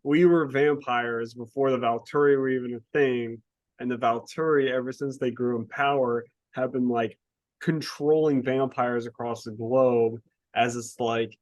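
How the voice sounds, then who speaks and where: A man co-hosting a casual book review podcast, talking in an animated, exasperated way and swearing casually. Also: mid-range voice, unhurried, no room sound. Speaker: podcast co-host.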